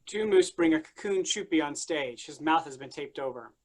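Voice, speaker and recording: A man's voice: a little high, normal speed. A man reading scripted stage directions as the narrator of a staged play reading.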